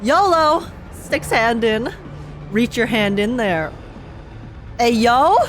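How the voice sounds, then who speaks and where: Young streamer girl reacting to a game, high voice, playful, expressive, faint music behind. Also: slow. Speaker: gaming streamer. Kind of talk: live game reaction commentary with dramatic reading aloud.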